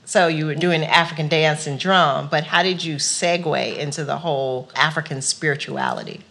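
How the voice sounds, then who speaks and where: A woman with a low-pitched voice leads a conversational interview. She asks long, framing questions and often answers her guest with short, affirming replies. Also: fairly even intonation, moderate pace, fairly bright tone.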